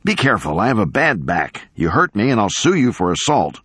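A man's voice: on the low side, sing-song, brisk, a bit bright, breathy edge, no echo, clean studio sound, pitched above the performer's own voice, exaggerated voice. A man voicing an indignant elderly male professor in an audiobook, pompous and emphatic, declaiming his grievances. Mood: weary, peevish. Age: older.

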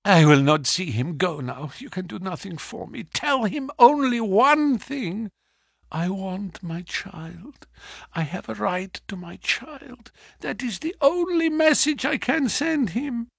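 A distraught young wife pleads in a high, quavering voice that leaps and dips as she speaks, as a man narrator puts her on. Her tone is breathy and unsteady, recorded close and dry. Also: sing-song, slow pace, no echo, heavily acted. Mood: anguished.

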